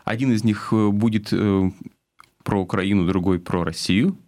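Middle-aged man, halting radio commentator, dry studio. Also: fairly low pitch, fairly even intonation, dark tone, dry recording. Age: 40s. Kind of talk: political commentary on community radio.

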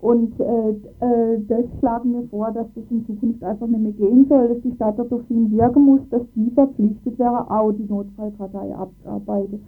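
Higher-voiced woman, tinny sound. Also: flat delivery, normal speed, hardly any pauses. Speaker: local resident and interviewee. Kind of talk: political discussion in a radio interview. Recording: tinny phone-like band, music behind.

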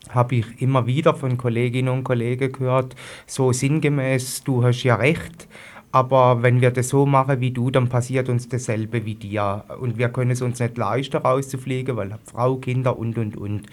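A man speaking as a guest in a political radio discussion, deliberate and fond of rhetorical repetition. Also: medium pitch. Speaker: discussion guest, former postal worker.

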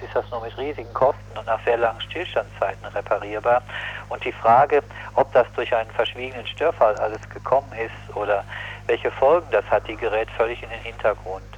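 A man speaking as an activist in a spontaneous political interview, critical and opinionated, halting and correcting himself as he goes. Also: mid-range voice, husky. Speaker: anti-nuclear activist interviewee. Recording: muffled, lo-fi 1980s radio recording.

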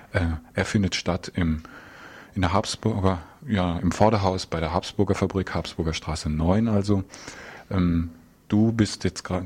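Low, husky male radio interviewer. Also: a little mellow. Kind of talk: informal live studio interview. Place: radio studio.

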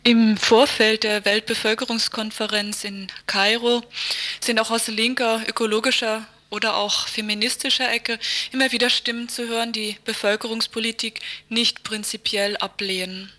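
A woman's voice: fairly high pitch, level tone, moderate pace, sharp tone. A woman presenting a radio news report, reading a scripted introduction and commentary.

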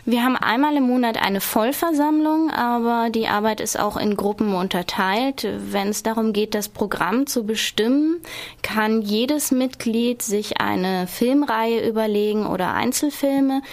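A woman's voice: on the high side, measured pace. A woman speaking as a radio interview guest, explaining things in a structured, matter-of-fact way. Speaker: film club member, interview guest. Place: radio studio.

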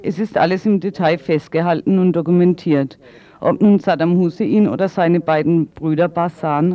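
Man, high voice, interpreter rendering interview answers, somewhat muffled radio sound. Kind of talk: consecutive voice-over interpreting. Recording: slightly dull radio sound.

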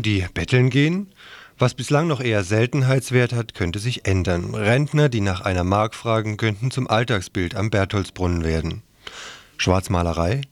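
A man's voice: on the low side, no room sound. A man working as a radio reporter, reading a scripted news report.

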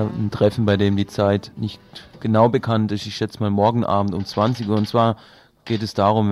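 A man with a fairly low, very flat voice gives an announcement on radio. He sounds unscripted and hesitant, putting the information together as he speaks. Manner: hesitant, improvised.

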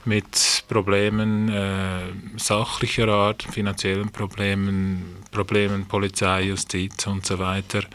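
Low, flat-toned man explaining in an interview. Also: a little slow, hardly any pauses. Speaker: clinic team member being interviewed.

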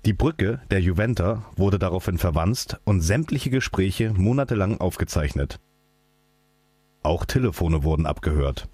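A man's voice: deep voice, expressive, moderate pace, darkish tone, husky, no room sound. A man, an activist, reading out a scripted political statement. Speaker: activist speaker. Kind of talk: scripted political speech read aloud.

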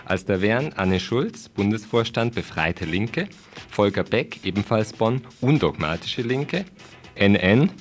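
A man's voice: lowish voice, lively intonation, measured pace. A man presenting radio event listings, reading in a wry, ironic manner. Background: music.

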